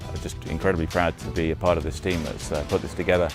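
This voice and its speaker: A man speaking as a company spokesman in a promotional clip, proud and earnest, with pauses. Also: low-pitched, steady tone. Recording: clip with music behind.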